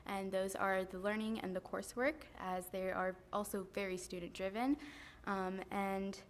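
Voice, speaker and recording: A woman's voice: medium pitch, a little hiss or hum. Earnest teenage girl at a podium. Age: teens. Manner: earnest, steady, many um fillers. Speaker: high school student speaker. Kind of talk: prepared public remarks. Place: school board meeting room, indoors. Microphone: podium microphone.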